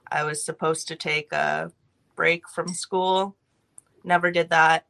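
A young woman from California, a podcast guest telling her life story in a brisk, matter-of-fact way with light laughs. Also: sits low, flat delivery, creaky, a bit bright.

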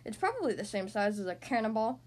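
A woman voicing a bossy, quick-tempered cat pirate king in a children's story, barking impatient orders loudly. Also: mid-range voice, big pitch swings, voice pushed down. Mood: impatient, threatening.

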